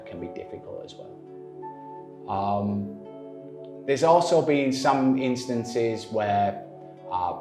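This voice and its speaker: A man from northern England with a Manchester accent, answering interview questions in a measured way with frequent pauses. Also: lowish voice, reverberant. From northern England, Manchester area. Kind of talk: explaining in an interview.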